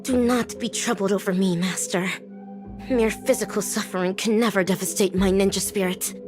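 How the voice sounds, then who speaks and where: An actress playing a solemn, naive would-be ninja in a video game, earnest and grandiose. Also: female voice, medium pitch, steady tone, normal speed, music in the background, natural voice. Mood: stoic. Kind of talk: scripted video game voice acting.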